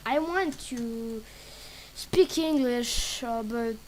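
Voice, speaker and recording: Slow, halting woman gamer. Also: fairly high pitch, darkish tone, slight background noise. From Serbia. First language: Serbian. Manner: halting, broken English with many pauses and fillers. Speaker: gaming channel creator. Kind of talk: casual gameplay commentary.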